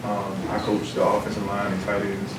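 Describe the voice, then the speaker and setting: A man's voice: on the low side, completely flat delivery, normal speed. A man from the DC and Maryland area, an offensive line coach, giving a brief, lightly joking introduction. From Washington DC and Maryland area. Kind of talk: self-introduction to a room. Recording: roomy, distant, noisy room recording.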